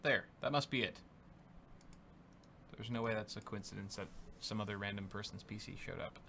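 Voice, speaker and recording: A man's voice: fairly low pitch, normal speed, gravelly, sharp tone. A young man co-hosting a tech show, casually chatting and reading viewer donations aloud with lots of fillers. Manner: upbeat, reads messages aloud with filler words. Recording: faint background noise.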